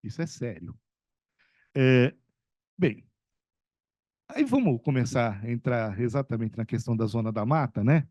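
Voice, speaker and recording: Middle-aged man from são paulo, economics professor on a panel, rambles with lots of fillers, warm mellow tone, dry sound. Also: mid-range voice, sing-song, measured pace, pauses often, no room sound. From São Paulo state, Brazil. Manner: rambling, many fillers and restarts, chuckles. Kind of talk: conversational talk at a panel.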